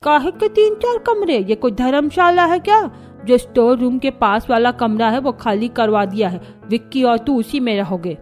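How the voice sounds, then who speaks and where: A woman's voice: on the high side, lively intonation, moderate pace, soft background music, natural voice. A woman voicing a stern, traditional village mother-in-law in a cartoon story, bossy and scolding. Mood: indignant. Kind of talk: Hindi cartoon story dialogue.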